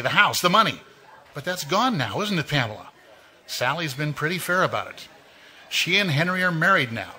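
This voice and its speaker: A man's voice: fairly high pitch, bright, forceful tone. A man doing a sly, knowing mystery narrator. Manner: insinuating, measured, knowing. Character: mysterious omniscient male narrator taunting a killer. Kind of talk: 1940s radio acting.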